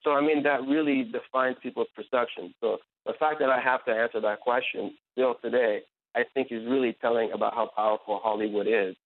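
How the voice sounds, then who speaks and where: A man's voice: mid-range voice, fairly even intonation, fairly quick. A man, a journalist on a radio panel, arguing his point fluently and earnestly. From Iran, raised in America. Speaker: journalist and blogger, panel guest. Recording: tinny phone line.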